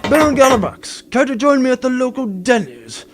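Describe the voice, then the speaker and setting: High, foppish, haughty gentleman. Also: sing-song, normal speed, loud music behind the voice. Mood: whiny pompous. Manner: flowery, pompous.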